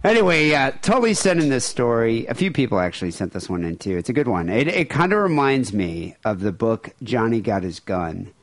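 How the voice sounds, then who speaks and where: A man's voice: medium pitch, expressive, a little fast, hardly any pauses, soft background music. A man hosting a casual podcast, talking in a loose, joking way with plenty of fillers. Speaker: podcast host.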